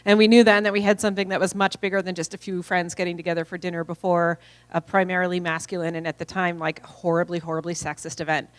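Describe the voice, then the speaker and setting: A woman's voice: fairly low pitch, level tone, fast talker, no echo. A middle-aged woman giving casual, enthusiastic welcoming remarks at a conference lunch, with plenty of fillers. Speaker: event speaker.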